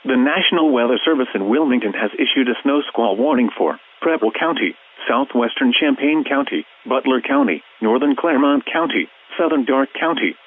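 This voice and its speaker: A man's voice: mid-range voice, expressive, moderate pace, hardly any pauses, a little hiss or hum. Tinny synthetic American male alert announcer. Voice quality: synthetic text-to-speech voice. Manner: formal, impersonal, reading out clipped lists. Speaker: weather radio announcer. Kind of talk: scripted emergency weather alert announcement. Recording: muffled, phone-like weather radio broadcast.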